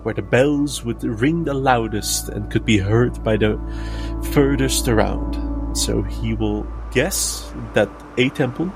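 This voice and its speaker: A man narrating his character in third person as a tabletop game player, with deliberate, dramatic phrasing. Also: medium pitch, music in the background, poor audio.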